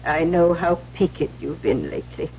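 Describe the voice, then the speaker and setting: An actress playing a stern, weary older Mother Superior, measured and authoritative, in an old-time radio drama. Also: deep voice, a little mellow. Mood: measured, confiding. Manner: measured, authoritative, cuts others short. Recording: muffled, hissy old radio recording.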